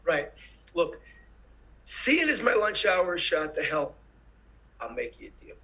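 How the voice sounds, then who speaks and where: A man's voice: a little high, very animated, unhurried, sharp tone, exaggerated voice, poor audio. A man playing a cynical, hard-drinking tax auditor in a screenplay table read, dry and sarcastic. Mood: irritated. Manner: dry, sarcastic, gruffly blunt.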